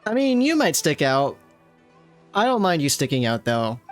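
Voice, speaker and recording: A woman's voice: sits low, very animated, moderate pace, faint music behind. A woman streamer giving casual, chatty let's-play commentary, reacting and talking back to game characters.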